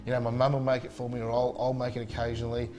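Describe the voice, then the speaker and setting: A man's voice: medium pitch, completely flat delivery, very fast. A man, a chef chatting casually and enthusiastically in an interview, with many fillers and restarts. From Spain.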